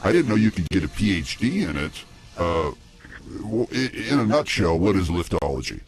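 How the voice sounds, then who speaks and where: A man hosting a comedic talk show in a casual, jokey, halting way. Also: low voice, sing-song.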